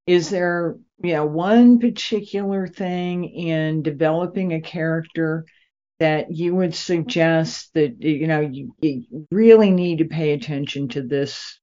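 A woman hosting an interview in a conversational style, with halting, deliberate phrasing. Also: deep voice, mellow. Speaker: interviewer, host. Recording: dull lo-fi audio.

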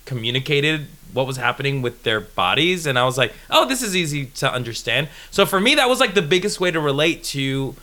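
A man's voice: a little high, a little fast, hardly any pauses. A man in his thirties from Colombia, a native Spanish speaker, chatting as a podcast guest and laughing often while telling stories. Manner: laughs often, animated storytelling. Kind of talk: casual chat.

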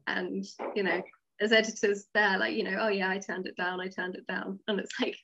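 A woman's voice: medium pitch, level tone, fairly quick, a bit bright, muffled recording. A woman, an author being interviewed, chatting casually and telling anecdotes. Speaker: author and audio drama writer, interview guest.

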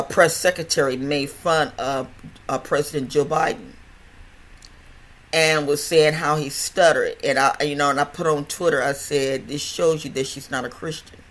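An older podcast host with a stutter, talking in a chatty, rambling way with many restarts and repeated words. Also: medium pitch. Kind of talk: casual health podcast monologue.

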